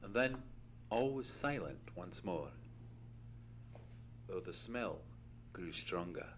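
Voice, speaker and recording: A man playing an earnest gentleman sidekick who narrates a mystery with theatrical, deliberate storytelling in an old-time radio play. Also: low-pitched, lively intonation, full character voice. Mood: hushed dread. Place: theatre stage. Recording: humming, noisy live theatre recording.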